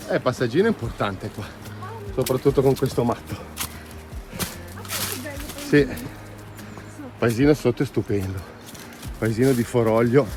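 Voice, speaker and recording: A man's voice: medium pitch, a little mellow. A man chatting casually and enthusiastically as he records a hiking vlog outdoors, in an informal, exclamatory way. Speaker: hiking vlogger. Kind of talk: casual vlog chat while walking outdoors. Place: outdoors on a mountain trail. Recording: outdoor noise, ambient hiss.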